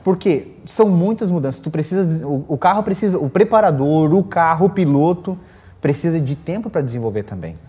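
A man's voice: a little high, expressive, fairly quick, mellow. A middle-aged man with a southern Brazilian accent, chatting casually as a racing guest on a panel. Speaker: guest drag racer. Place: small indoor studio set.

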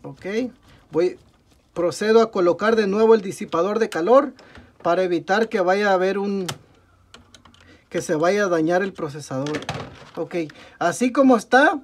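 A man's voice: high-pitched, moderate pace, pauses often, a little hiss or hum. Young Mexican man, tech tutorial host, narrates hands-on steps with self-corrections, bench mic sound. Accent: Mexican Spanish. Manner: talks through each step while working, frequent "ok, amigos" and self-corrections. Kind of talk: step-by-step repair tutorial. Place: indoor workbench at a desk.

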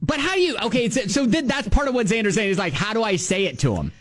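Lively man radio co-host. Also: high voice. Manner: animated, stammering restarts, quick laughs. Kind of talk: radio talk show banter. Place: radio studio. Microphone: studio microphone.

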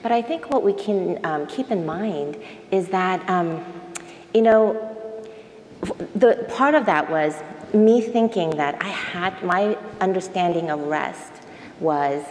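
A woman on a panel talking informally, with hesitant, halting phrasing. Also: on the low side, darkish tone. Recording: echoey hall, noisy room tone.

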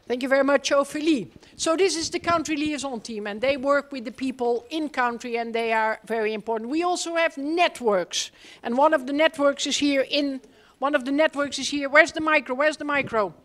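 A high-voiced woman runs the room as host. She fires short, informal questions at the audience through a handheld mic in a large hall. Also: brisk. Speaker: event host introducing team members. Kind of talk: live panel introductions. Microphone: handheld microphone.

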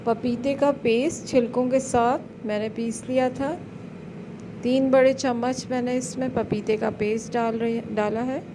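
A woman's voice: a little high, a little slow. Woman narrating a recipe over noise. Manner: calm step-by-step instructions. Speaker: cooking channel host.

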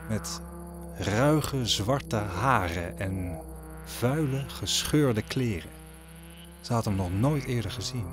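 A man narrating an audio drama as a scripted story, deliberately, with suspenseful pauses. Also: medium pitch. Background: music.

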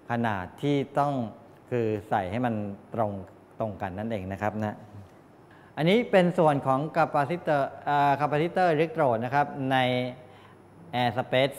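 Male lecturer, middle-aged, halting explanatory delivery, echoey classroom sound with faint noise. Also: medium pitch. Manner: halting, explanatory, with frequent polite tag particles. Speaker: college lecturer in medical electronics. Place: medium-sized indoor classroom with wooden desks. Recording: echoey classroom, distant pickup, background noise.

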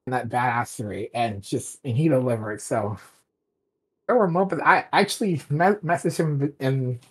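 Husky-voiced podcast guest, gushing and rambling. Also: mid-range voice, normal speed. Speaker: audio drama director, podcast guest. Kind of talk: casual enthusiastic chat.

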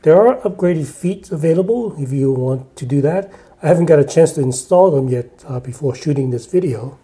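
Chatty man in an echoey living room. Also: fairly high pitch. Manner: relaxed, chatty, with frequent uh fillers and restarts. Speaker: audio reviewer. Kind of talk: casual product review.

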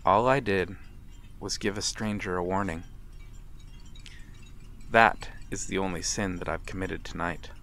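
A man playing a courteous, melancholy vampire in a dramatic ASMR roleplay, speaking formally and with measured pauses. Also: deep voice, fairly even intonation, a bit bright. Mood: calm, knowing. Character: courteous, melancholy male vampire, lonely and mysterious. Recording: clear background ambient noise. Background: night harbor ambience.

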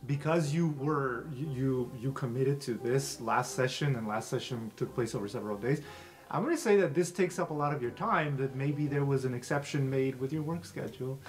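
A man's voice: mid-range voice, moderate pace, soft background music. A man working as game master, narrating an improvised tabletop adventure in a casual, conversational style.

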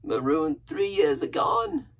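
A man's voice: fairly high pitch, wildly swooping pitch, slow pace, gravelly, a bit bright, voice pushed up, full character voice. A man voicing a half-crazed, sly and pious marooned sailor in a storybook, with a strained, cracking voice and rustic pirate grammar. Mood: wistful.

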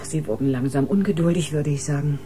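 An actress playing a professional, slightly condescending ship doctor, clinical and instructive in manner. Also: female voice, low voice, fairly quick, warm tone, natural voice. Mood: cheerfully professional. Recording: music playing behind the voice.

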